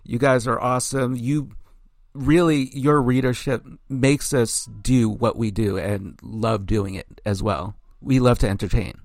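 Hoarse male podcast guest, mid-pitched, dry close mic, rambly and animated. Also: a little slow, no room sound. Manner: rambling, filler-heavy, animated. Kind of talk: casual podcast chat.

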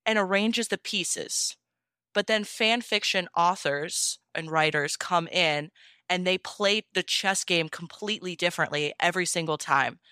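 A woman's voice: fairly low pitch, pushed and bright, smooth, no room sound, studio quality. A woman guest on a podcast, chatting casually and explaining things earnestly, often saying "like". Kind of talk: casual chat.

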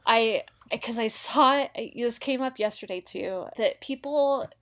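A woman's voice: fairly high pitch, a little slow, a bit bright. An American woman from Utah speaking as an interview guest, explaining things in an emphatic, colloquial way on a casual podcast. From Utah, United States. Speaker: guest, former congressional aide.

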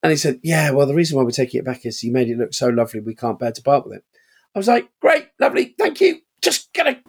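A man co-hosting a podcast, telling animated stories from his auction house, acting out quoted voices and laughing often. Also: mid-range voice, fast talker, creaky, husky. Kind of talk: casual chat.

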